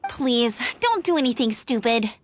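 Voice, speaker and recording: A woman's voice: fairly high pitch, expressive, moderate pace, a little hiss or hum, close to an everyday voice. A woman voicing an earnest, composed young female knight in a video game, speaking articulately. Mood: reassuring, resolute.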